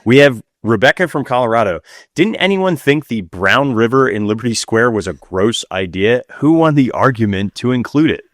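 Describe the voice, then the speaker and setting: A man's voice: medium pitch, lively intonation, normal speed, no room sound, studio quality. A man co-hosting a podcast in casual chat, with an informal, wryly griping manner. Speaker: podcast co-host.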